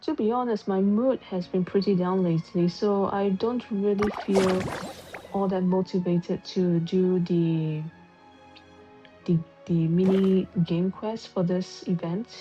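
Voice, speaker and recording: A woman's voice: fairly low pitch, steady tone, slow pace. Lady streaming a game at home, chill subdued chat, dull lo-fi earbud sound, music behind her. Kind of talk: casual livestream chat. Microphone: wireless earbud mic on a phone stream. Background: clear game music.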